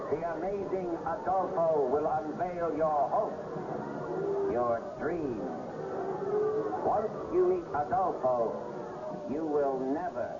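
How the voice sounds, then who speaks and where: A theatrical carnival barker from an eighties radio play. His fairly high voice draws out each grand phrase slowly. The recording is muffled and heavy with noise. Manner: theatrical, drawn-out showman's patter. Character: grandiose carnival barker touting an amazing fortune-teller. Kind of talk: 1980s radio drama acting.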